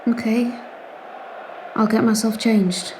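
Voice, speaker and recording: A timid wife and mother in an audio drama, with a high voice. She is hesitant, apologetic and soothing, with faint noise behind her. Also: steady tone, slow, darkish tone, some chatter behind, low-quality recording. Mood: anxious, placating. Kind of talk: naturalistic audio drama acting.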